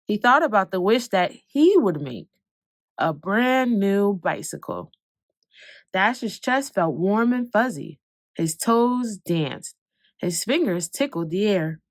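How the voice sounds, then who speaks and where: A woman reading a children's picture book aloud, expressive, slowing down for calm moments and speeding up for excitement. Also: mid-range voice, no room sound. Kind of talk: read-aloud storytelling.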